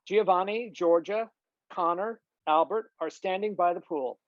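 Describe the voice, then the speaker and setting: A woman's voice: on the low side, a little slow, no room sound. A woman reading stage directions as scripted narration at a table read, on slightly dull video-call audio.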